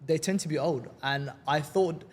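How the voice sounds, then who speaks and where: A man's voice: fairly high pitch, normal speed. A man from London with a London accent, a guest in a casual podcast interview. He talks in an upbeat, chatty way and often repeats words as he starts a sentence. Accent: London English. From England.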